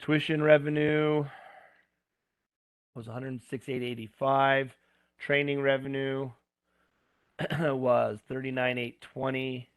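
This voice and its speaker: A gravelly, hoarse man talks through accounting homework one entry at a time, in plain words with small asides. He sounds close and dry, with no room around his voice. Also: medium pitch, flat delivery, slow, long pauses, dry recording. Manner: plain step-by-step talk with asides and fillers. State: going hoarse. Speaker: instructor. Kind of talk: screen-recorded homework walkthrough.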